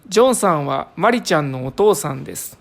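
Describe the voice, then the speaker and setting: A woman's voice: sits low, expressive, takes it slowly, creaky. A woman who is a native Japanese speaker, reading a short comprehension text aloud with care for learners. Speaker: reader of lesson passages.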